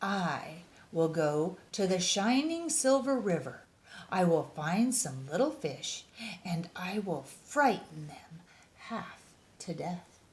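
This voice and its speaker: A woman's voice: on the low side, very animated, slow. A woman voicing a large, growly male bear in a picture book she reads aloud, exclaiming his lines with gusto. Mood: scheming.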